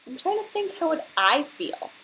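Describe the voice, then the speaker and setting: A woman teacher reading a picture book aloud to children and pausing to prompt them with questions, with hiss on the recording. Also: sits high, sing-song, fairly bright tone, poor audio. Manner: instructional, pausing to prompt young listeners.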